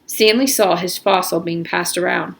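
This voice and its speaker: A woman narrating a storybook aloud in an expressive, emphatic way. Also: fairly low pitch, normal speed, creaky.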